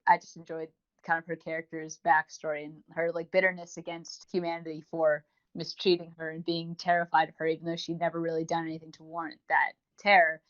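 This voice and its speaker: A woman's voice: low-pitched, completely flat delivery, brisk. A woman co-hosting a podcast, giving a rambling, enthusiastic review in a casual, chatty style. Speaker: podcast co-host. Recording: dull lo-fi voice recording.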